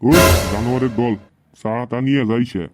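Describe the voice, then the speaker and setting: A performer playing a gruff adult tea-stall keeper in a comedy cartoon, blunt, mocking and scolding. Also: medium pitch, steady tone, a little fast, over loud music, close to an everyday voice, low-quality recording.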